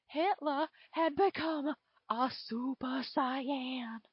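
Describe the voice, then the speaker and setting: Southern belle dragon played by a woman, extremely high voice, theatrical storybook narrator, dull lo-fi sound. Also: dry recording. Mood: dramatic storytelling. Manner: theatrical, drawn-out emphasis. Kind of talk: dramatic fan fiction reading. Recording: dull lo-fi home recording.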